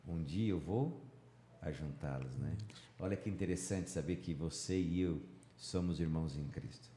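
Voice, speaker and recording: In a very roomy recording with faint noise, a middle-aged Brazilian man speaks in a low voice. He hosts a religious discussion in an engaging, conversational teaching style, often asking for agreement. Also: moderate pace, dark tone. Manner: engaging, seeks agreement with tag questions. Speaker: Bible study host.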